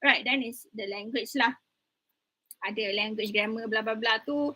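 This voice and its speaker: A woman's voice: on the high side, moderate pace, sharp tone. A young woman lecturer with a Malaysian accent, giving an online class on presentation skills in a chatty, teasing way, mixing English and Malay.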